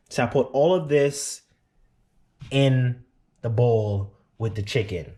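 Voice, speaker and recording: A Jamaican-accented man chats straight to his viewers in a relaxed, storytelling way, walking them through home cooking. His voice sits in an ordinary middle pitch. The sound is picked up by a camera mic in a small room with plenty of echo. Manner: chatty, storytelling, addresses viewers directly. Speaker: cooking vlogger. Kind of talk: casual cooking show chat. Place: small apartment living room, then home kitchen.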